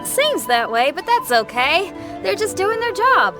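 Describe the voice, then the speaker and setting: An actress playing an upbeat, tenacious young rock musician in a game cutscene, earnest and folksy. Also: female voice, extremely high pitch, sing-song, unhurried, over music, full character voice. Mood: upbeat, resilient. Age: young adult. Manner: folksy, plain-spoken, earnest. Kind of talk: animated game cutscene voice acting.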